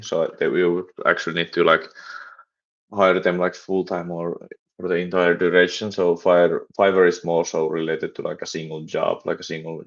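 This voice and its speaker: A young man from Finland with a Finnish accent, chatting on a live stream and talking haltingly with many restarts and fillers. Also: deep voice, muffled recording. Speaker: livestreaming startup developer. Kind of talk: casual live stream chat.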